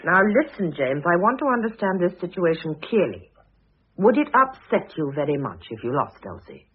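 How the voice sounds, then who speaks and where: An actress playing a shrewd, wryly amused middle-aged divorced mother in a radio drama, poised and dryly teasing, with a husky voice. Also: lowish voice, sing-song, normal speed. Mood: brisk, practical. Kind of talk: old radio drama acting. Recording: tinny, narrow old radio recording.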